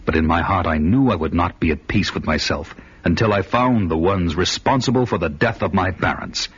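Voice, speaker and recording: An actor playing a gruff, vengeful barbarian hero who narrates his own tale in dramatic radio-play style. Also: sits low, moderate pace, highs cut off. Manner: grave, dramatic first-person narration.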